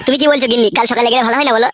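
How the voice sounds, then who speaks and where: A woman's voice: fairly high pitch, steady tone, rapid-fire, a bit bright, no room sound, clean studio sound, close to an everyday voice. A woman playing a crude, swaggering husband in a comedy cartoon, with a coarse, bossy way of talking. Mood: gloating, lewd. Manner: coarse, brash, bossy, swearing. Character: crude, swaggering young husband with two wives.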